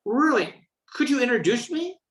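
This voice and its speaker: A woman's voice: fairly high pitch, very animated, moderate pace. A woman playing an earnest, patriotic professor with a mock-solemn, dramatic delivery in a comic radio play.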